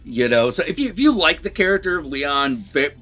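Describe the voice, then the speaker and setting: A man in a casual, unscripted review chat, talking haltingly with repeated words and the odd swear. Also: a little high, very animated, pushed and bright, faint music behind. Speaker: film review vlogger.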